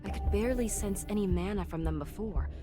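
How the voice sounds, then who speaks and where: A woman's voice: medium pitch, a little fast, close to an everyday voice. An actress playing a poised, wry adult woman hunter, articulate and composed, in a game cutscene. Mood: composed, puzzled. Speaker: video game voice actor. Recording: music behind the voice.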